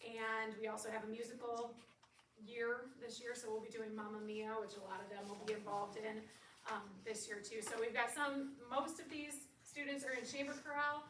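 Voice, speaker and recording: A woman choir director talks in a bright, higher voice with a friendly, chatty manner. She sounds distant in a reverberant meeting room. A faint crowd sits behind her. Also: flat delivery, normal speed, a little hiss or hum. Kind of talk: casual presentation. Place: school board meeting room. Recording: echoey hall from far off.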